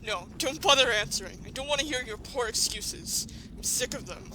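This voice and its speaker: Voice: medium pitch, animated, moderate pace, background noise, close to an everyday voice. A performer in an audio drama playing a bitter, vengeful young human who accuses with cold intensity. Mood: furious, grieving. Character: Frisk, furious vengeful young human protagonist turning cold.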